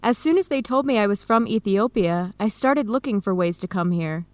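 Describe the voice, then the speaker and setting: A woman's voice: medium pitch, lively intonation, normal speed, no room sound, lightly acted. A woman acting an earnest young adoptee in a radio drama, speaking openly with an American accent.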